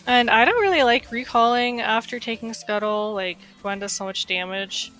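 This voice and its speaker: A woman's voice: fairly high pitch, moderate pace, fairly bright tone, faint music behind, rough recording. A woman with a slightly nasal, stuffy voice from being sick, chatting casually over her gameplay with lots of fillers. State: recovering from illness, slightly stuffy. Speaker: gaming streamer.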